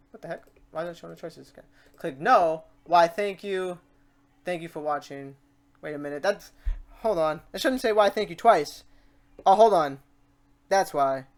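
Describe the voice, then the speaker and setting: Casual tutorial host thinking out loud. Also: mid-range voice, unhurried, long pauses. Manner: informal, talks self through steps.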